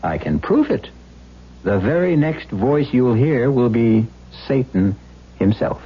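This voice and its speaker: A man hosting and narrating a vintage radio drama in a deliberate, theatrical, wry storytelling style. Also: medium pitch, big pitch swings, slightly rough. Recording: muffled old radio recording. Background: music.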